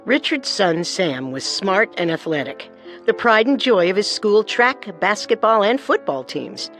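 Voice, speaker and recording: A woman's voice: mid-range voice, big pitch swings, unhurried, fairly bright tone, soft background music. A woman hosting a podcast with scripted true-crime narration. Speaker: podcast co-host.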